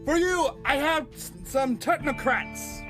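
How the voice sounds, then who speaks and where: A man voicing a peculiar, pushy woman selling odd wares at a market in a storybook poem, loud and insistent. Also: extremely high pitch, takes it slowly, music in the background, pitched above the performer's own voice. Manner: shouting, insistent. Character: peculiar, shady, pushy lady market seller.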